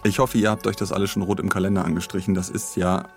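A man with a fairly low voice co-hosts a podcast and runs casually through announcements. He banters in a dry, teasing way. Light music plays behind him. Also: level tone, quick pace. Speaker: podcast co-host. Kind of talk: casual chat, announcing news.

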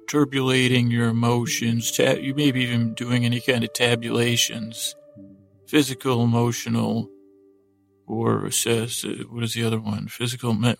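A man hosting a sleep podcast, giving a soothing, meandering bedtime monologue in a halting way, trailing off and restarting as he digresses. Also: medium pitch, gravelly. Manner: soothing, lulling.

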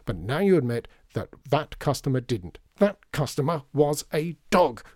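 A man's voice: mid-range voice, big pitch swings, moderate pace, a little mellow, dry recording, clean studio sound. A man voicing a stubborn, scornful male cook in an audiobook, arguing emphatically. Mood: indignant. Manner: argumentative, emphatic. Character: stubborn, shouting, scornful man who cooks, quarrelling. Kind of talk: audiobook storytelling.

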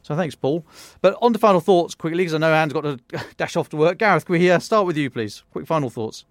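A man's voice: on the high side, expressive, fairly quick, no echo, clean studio sound. A British man hosting a podcast, addressing listeners and guests. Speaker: podcast host.

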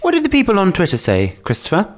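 A man's voice: sits high, huge pitch swings, quick pace. A man co-hosting a podcast in casual chat, giggly and playful with frequent laughs. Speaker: podcast co-host.

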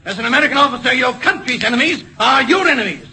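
An actor playing a stern military prosecutor who questions accusingly and oratorically in an old-time radio drama. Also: male voice, very high voice, expressive, fast talker, vocal fry, heavily acted. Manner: forceful, oratorical courtroom questioning. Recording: vintage radio broadcast recording.

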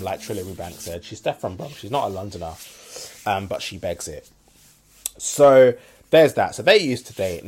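A Brummie man talking on his podcast in a lively, opinionated way. His pitch leaps around a lot, and he often drops to a mutter before rising loud again. He is blunt and swears freely. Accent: Birmingham English. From Birmingham, England. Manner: animated, blunt, swears freely, swings between loud and quiet. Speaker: podcast host. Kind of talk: casual opinionated chat.

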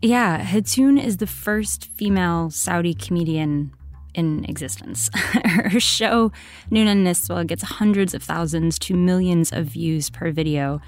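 A woman with a fairly low voice co-hosts a podcast. She narrates and poses interview questions in a friendly, conversational tone. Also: unhurried.

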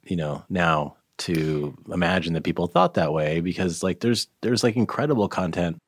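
Very low-voiced man hosting an interview. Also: normal speed, husky, dry recording, studio quality. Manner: musing, many fillers like "like" and "you know". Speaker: interviewer, host. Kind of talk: conversational interview.

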